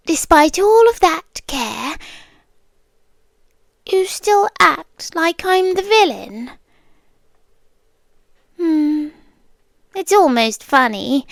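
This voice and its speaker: Woman acting a high-pitched, possessive British yandere girlfriend. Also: dry recording, clean studio sound, heavily acted. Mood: quietly possessive. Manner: soft-spoken, deliberate, often slipping into whispers. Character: possessive, menacing yandere girlfriend, young woman, sweetly condescending. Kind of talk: ASMR roleplay. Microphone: close, dry microphone.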